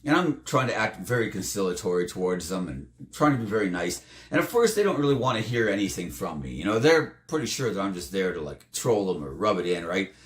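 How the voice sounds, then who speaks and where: A slightly hoarse man telling a tabletop game story in a casual, animated way. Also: brisk, hardly any pauses. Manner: animated, jumps up in pitch for emphasis. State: slightly hoarse, losing his voice. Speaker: tabletop game player recounting a campaign. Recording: roomy, echoey room.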